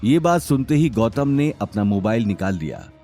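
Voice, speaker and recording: A man's voice: lowish voice, very animated, normal speed, warm tone, faint music behind. A man narrating a scripted audio drama in a dramatic storytelling style.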